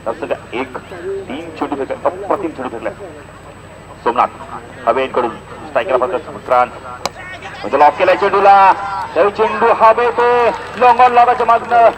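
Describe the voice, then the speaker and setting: High-voiced man commentating cricket, crowd behind. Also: moderate pace, long pauses, rough recording. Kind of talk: live local cricket tournament commentary. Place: outdoor village cricket ground. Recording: outdoor event sound, crowd behind.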